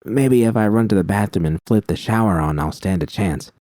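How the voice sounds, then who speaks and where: A man voicing a steady young woman mercenary who speaks calmly and reassuringly. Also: fairly low pitch, brisk, no room sound, clean studio sound, natural voice. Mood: tender. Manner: calm, gently reassuring.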